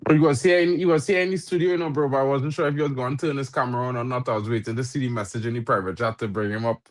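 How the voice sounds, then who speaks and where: A man's voice: mid-range voice, fairly even intonation, racing. A man who is not a native English speaker, giving casual live football commentary and chat in slangy talk peppered with "bro". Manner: slangy, says "bro" often. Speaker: football watchalong co-host.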